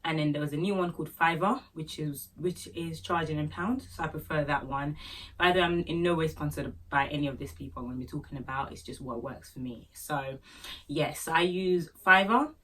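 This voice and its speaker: A woman's voice: low voice, a little fast, fairly bright tone, distant mic, rough recording. A woman from Nigeria with a Nigerian Yoruba accent, chatting casually to camera and giving business tips with joking asides and fillers. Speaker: small business owner and vlogger.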